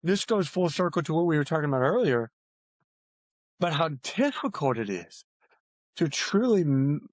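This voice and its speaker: A man's voice: on the high side, sing-song, normal speed, pauses often, no echo. A man talking earnestly as an author guest on a podcast, repeating phrases for emphasis. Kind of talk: earnest podcast interview talk.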